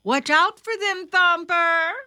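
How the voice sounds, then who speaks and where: A woman's voice: extremely high pitch, slow pace, pushed and bright, no room sound, studio quality, voice pushed up, full character voice. A woman voicing a watchful, fretful mother rabbit in a children's storybook. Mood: warning.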